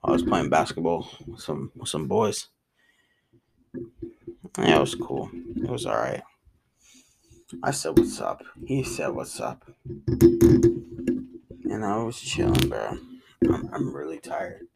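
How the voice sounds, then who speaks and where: A man's voice: very deep voice, very animated, slow, gravelly, long pauses, a little mellow, slightly rough, rough recording. A man with a New York and New Jersey accent, rambling casually in slangy vlog chat from his room. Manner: slangy, rambling, says bro a lot. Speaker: vlogger. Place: bedroom in a rented cabin.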